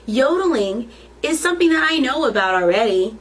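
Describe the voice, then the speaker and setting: A woman's voice: sits high, sing-song, brisk, slight background noise. A woman reading a children's picture book aloud as a storytime reader, expressively and with questions to the listeners.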